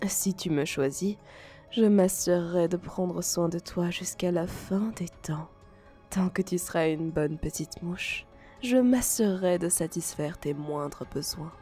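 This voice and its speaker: A woman voicing a seductive, possessive spider-woman monster in an ASMR roleplay, coaxing softly and often whispering. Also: fairly low pitch. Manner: breathy whispering, slow coaxing delivery.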